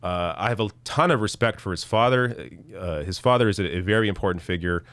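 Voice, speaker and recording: A man in his thirties, hoarse from losing his voice, hosting a casual live political stream with blunt, profane commentary and frequent restarts. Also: sits low, a little fast, fairly bright tone, no room sound. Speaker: political show host reading viewer chat.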